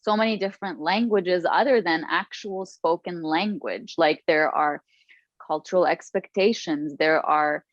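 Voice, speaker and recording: A woman from Lebanon, a guest author who speaks candidly and slowly, with a few restarts. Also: on the low side, dry recording, dull audio. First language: Arabic. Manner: thoughtful, slow, candid, with restarts. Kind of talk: reflective personal interview.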